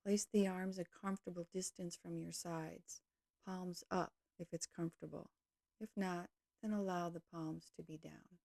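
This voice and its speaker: A woman leading a guided yoga nidra meditation, speaking calmly and gently in slow, measured short phrases. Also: lowish voice, vocal fry, dry recording, clean studio sound.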